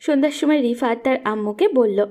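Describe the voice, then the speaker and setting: A woman's voice: a little high, a little fast, warm tone. A woman narrating a scripted romantic audio story.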